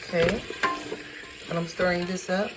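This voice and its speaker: Hoarse woman cooking at home. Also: steady tone, moderate pace, heavy background noise. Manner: tired, low-key, chatty with fillers. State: hoarse, lost voice from sinus infection. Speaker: home cook vlogger. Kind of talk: casual cooking demonstration. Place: home kitchen. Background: sizzling and frying in a pan.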